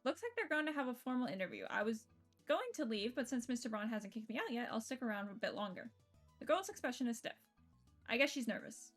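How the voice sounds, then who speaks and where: Animated, higher-voiced woman streaming a game. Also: quick pace. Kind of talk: reading game narration aloud with casual reactions. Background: game music.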